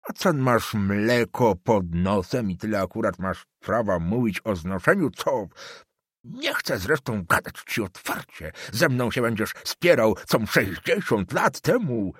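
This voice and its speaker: Man voicing a cranky old official, shrill, drawn-out shouting, husky, dry sound. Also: sing-song, no room sound, full character voice. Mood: furious. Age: older. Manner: shrill, slow, shouting tirades. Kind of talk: audiobook character voice.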